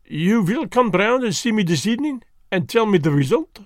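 A man's voice: high voice, very animated, normal speed, dry recording, studio quality, pitched above the performer's own voice. A man reading the part of a fussy, vain elderly Belgian detective who speaks precisely and drops French words. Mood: gently ironic.

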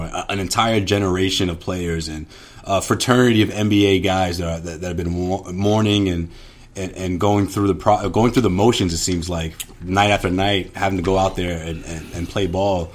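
Deep husky-voiced man chatting. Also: steady tone, normal speed. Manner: conversational, stumbles and repeats words. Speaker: podcast co-host. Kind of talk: casual sports talk.

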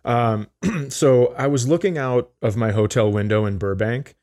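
A man's voice: medium pitch, a little slow, darkish tone. A man co-hosting a comedy podcast, chatting casually in a dry, hesitant way.

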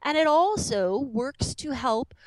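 A woman guest on a podcast, a movement specialist who explains things in a calm, measured way. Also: high voice, dry recording, poor audio. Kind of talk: explanatory interview answers.

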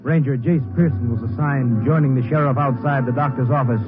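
Guy as 1950s radio drama narrator, sober scripted delivery, muffled sound, music loud behind. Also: mid-range voice, fairly even intonation, fairly quick, close to an everyday voice. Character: sober male narrator of a police case.

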